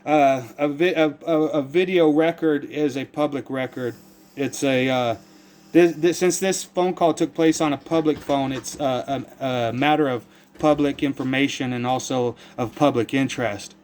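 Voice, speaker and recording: An American man speaking as a caller with a complaint, earnest and rambling, halting as he searches for formal words and often repeats himself. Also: medium pitch, steady tone, a little mellow, slight background noise. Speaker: caller making a complaint to a public agency.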